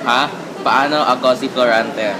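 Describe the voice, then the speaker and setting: A man's voice: mid-range voice, steady tone, a little slow, natural voice. A man playing an overwhelmed student in a scripted school radio drama. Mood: puzzled. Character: overwhelmed male student close to giving up. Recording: very noisy amateur recording.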